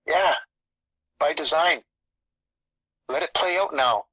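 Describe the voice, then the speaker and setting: Guy raised in canada, italian first language, super bright tone, rambles and repeats himself. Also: fairly high pitch, slow, slightly rough, no room sound. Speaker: spiritual channel host. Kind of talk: casual spiritual chat.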